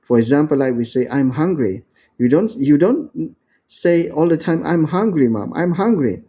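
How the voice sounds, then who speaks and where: A man's voice: fairly high pitch, expressive, moderate pace, dark tone, husky. A man from Indonesia giving an informal lecture, rambling with many fillers and "you know", and acting out quoted lines in a raised voice. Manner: acts out quoted speech in a raised voice.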